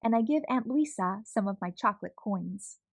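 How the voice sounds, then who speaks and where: A woman reading a children's picture book aloud with an expressive storytelling manner. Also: medium pitch, moderate pace, creaky.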